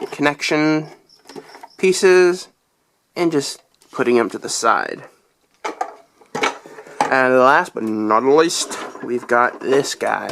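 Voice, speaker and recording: A young man who has been sick, his voice deeper than usual, rambling through a casual toy review with lots of "uh"s. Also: vocal fry, background noise. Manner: rambling, chatty, lots of fillers.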